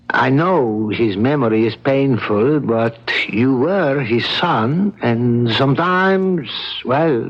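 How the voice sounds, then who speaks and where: Wheedling, over-polite radio-drama schemer. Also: male voice, medium pitch. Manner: hesitant, over-polite, ingratiating. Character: eager, wheedling sculptor, politely scheming man. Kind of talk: vintage radio drama acting. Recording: dull lo-fi old radio recording.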